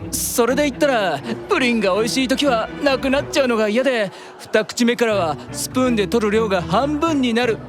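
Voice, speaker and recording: An actor playing a brash young male demon in an anime-style audio drama, rough and cocky but easily flustered into defensive stammering. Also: extremely high pitch, a little fast, hardly any pauses, music in the background. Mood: cocky, competitive.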